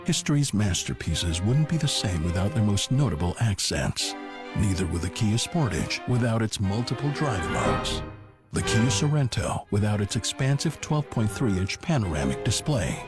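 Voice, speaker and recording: A man's voice: lowish voice, big pitch swings, normal speed, over loud music. A man reading a scripted car commercial as a voice-over announcer. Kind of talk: scripted radio ad read.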